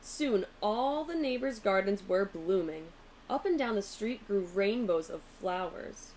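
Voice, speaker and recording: A woman narrating a children's storybook aloud in an expressive, deliberate way. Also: mid-range voice, a little hiss or hum, rough recording. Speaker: teacher reading a picture book aloud.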